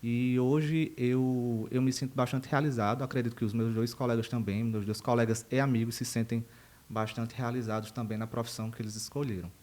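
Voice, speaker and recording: A man's voice: mid-range voice, fairly even intonation, brisk, darkish tone. Chatty man telling his story. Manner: chatty and digressive, laughs at himself. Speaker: university professor, podcast guest. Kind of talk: casual interview storytelling.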